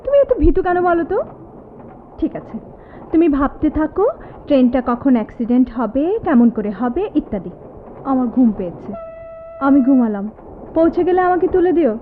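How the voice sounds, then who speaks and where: A woman's voice: sits high, animated. An actress playing an anguished, bitter young woman in an emotive, theatrical style of radio drama. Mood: playful, teasing. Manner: emotive, dramatic, halting repetitions. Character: anguished, bitter young woman, disfigured, speaking to her former love. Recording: tinny, phone-like old radio drama recording, noisy.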